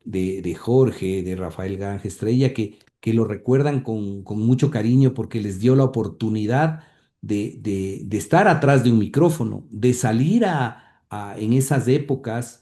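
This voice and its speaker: Ecuadorian man with a very dark, mellow voice. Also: lowish voice. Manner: halting, repeats words while recalling. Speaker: interview guest. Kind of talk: reminiscing in an interview.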